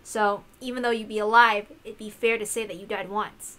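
A woman voicing a serious, thoughtful young woman in a visual novel, earnest and deliberate. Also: medium pitch, steady tone, pushed and bright, lightly acted. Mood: grave, thoughtful. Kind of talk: acted visual novel dialogue.

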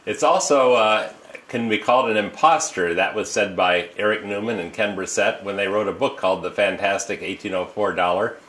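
Older man, lowish voice, rambling, lots of fillers, seated in an office. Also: moderate pace, fairly bright tone. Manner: rambling, with many uh fillers and restarts. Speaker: coin dealer and auctioneer. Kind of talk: informal storytelling to camera. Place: office with desk and leather chair, indoors. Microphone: fixed camera, seated at desk.

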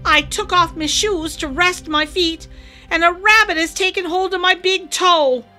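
Woman voicing a high, excitable tiny leprechaun man. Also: unhurried, soft background music, heavily acted. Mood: indignant yelling. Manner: exclamatory, scolding, emphatic. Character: tiny male leprechaun, excitable, sharp and bossy.

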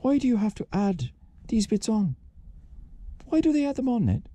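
A man's voice: high voice, big pitch swings, normal speed, mellow, dry recording. A man voicing a confused, pedantic old Irish grandad who rambles, hesitates and repeats himself. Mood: pedantic, baffled. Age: older.

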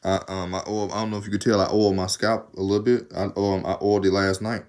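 Young Southern vlogger, low-pitched, talkative and laid-back, speaking into a phone at arm's length. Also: male voice, little pitch movement, quick pace, darkish tone. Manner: rambling, talkative, laughs often. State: arms tired while undoing braids. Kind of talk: casual chat to camera. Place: small room indoors. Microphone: phone camera at arm's length.

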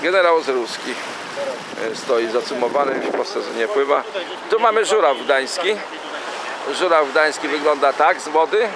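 Man, amateur river-tour narrator, slightly high voice, chatty, loud wind noise on a camera mic. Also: expressive, normal speed. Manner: chatty, rambling with fillers and self-corrections. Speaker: amateur tour guide on a boat. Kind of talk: casual running commentary. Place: small boat on a city river, outdoors.

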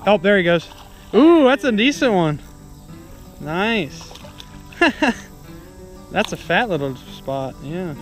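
A man's voice: sits high, sing-song, slow pace, pauses often, faint music behind. A young man chatting casually and jokily outdoors as he fishes, with a laid-back, teasing manner. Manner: laid-back, jokey exaggeration. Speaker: fishing vlogger. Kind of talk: casual chat while fishing. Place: outdoors on a wooded river. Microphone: action camera worn or held by him.

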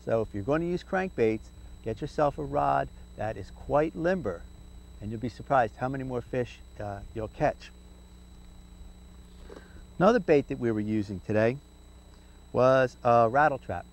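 A man's voice: mid-range voice, animated, moderate pace, gravelly, pauses often, a little hiss or hum, low-quality recording. A middle-aged man hosting a fishing tips segment, talking casually to camera from a boat outdoors with many "uh" fillers and restarts. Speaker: fishing show segment host. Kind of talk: casual instructional talk to camera. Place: outdoors, sitting in a boat on a river.

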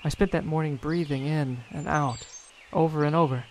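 A man's voice: on the high side, steady tone, moderate pace, slight background noise, lightly acted, rough recording. An actor playing an earnest, idealistic man raised in space habitats, in a science-fiction audio drama. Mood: wondering. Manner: earnest, wondering.

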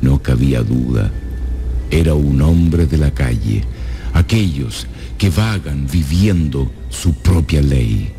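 A man narrating a radio drama, dramatic and scripted, with measured, suspenseful phrasing. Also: extremely low pitch, animated, gravelly, background noise.

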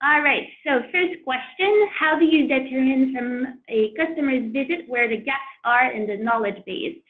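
A woman's voice: high voice, normal speed. A woman hosting a product webinar, presenting and reading audience questions in a friendly way with many fillers. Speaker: webinar host. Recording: tinny phone-like line.

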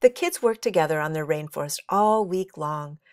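A woman's voice: deep voice, animated, normal speed, dry recording. A middle-aged woman reading a children's story aloud expressively, as a teacher would for young listeners.

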